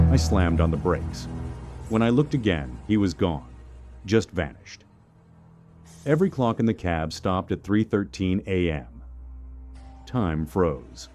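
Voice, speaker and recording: A man narrating a scripted first-person horror story in a measured, suspenseful manner. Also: deep voice, dark tone.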